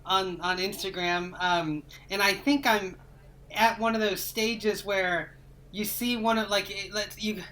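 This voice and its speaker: A woman's voice: medium pitch, steady tone, unhurried, a bit bright, low-quality recording. A woman chatting casually as a podcast co-host over a video call. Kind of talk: casual chat. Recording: faint ambient noise on video call.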